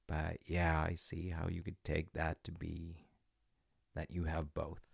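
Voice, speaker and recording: A very deep, gravelly-voiced dad, played by a man, caring and patient, speaking softly and reassuringly in an audio roleplay. He takes his time and slips into a whisper now and then. The sound is close and dry. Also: completely flat delivery, no room sound, full character voice. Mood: gentle. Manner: soft, reassuring, unhurried, drops to whispers at times. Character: caring middle-aged dad, gentle and patient. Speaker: voice actor.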